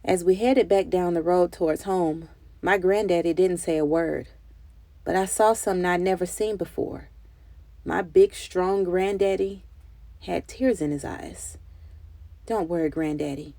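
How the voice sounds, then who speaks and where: Mellow, low-voiced woman reading a storybook. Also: measured pace, no echo. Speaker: children's book reader. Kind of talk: expressive storybook narration.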